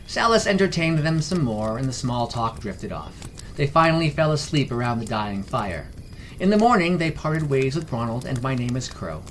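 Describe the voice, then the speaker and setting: A man's voice: mid-range voice, big pitch swings, moderate pace, a little hiss or hum. A man reading scripted fantasy story narration as the narrator of a fiction podcast. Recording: music behind the voice. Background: background music.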